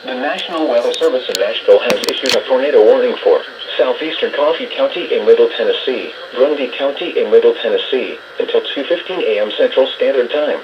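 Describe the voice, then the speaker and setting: Computer guy voice reading storm warnings, measured and flat, noisy muffled radio sound. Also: mid-range voice. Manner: flat, measured synthesized delivery. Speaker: automated weather radio announcer. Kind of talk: scripted emergency weather warning bulletin.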